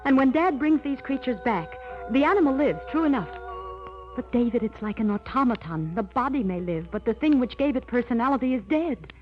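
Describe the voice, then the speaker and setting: A woman acts out a scientist's anxious, principled daughter in a vintage radio thriller. She pleads and warns in a fairly high voice. The recording is muffled, with faint music behind. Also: expressive, fairly quick, lightly acted. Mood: foreboding. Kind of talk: old-time radio drama acting.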